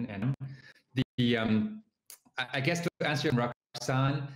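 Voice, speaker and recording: A man's voice: mid-range voice, takes it slowly. A man from southern China with a Chinese accent, an entrepreneur guest speaking hesitantly with many fillers. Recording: roomy sound.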